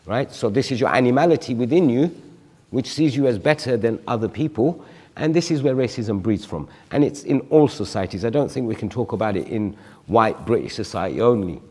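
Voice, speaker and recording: A man's voice: medium pitch, expressive, brisk, a little mellow, breathy edge, in a large hall. A man in his forties, a guest on a studio panel discussion, explaining his view reflectively with examples. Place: TV studio stage.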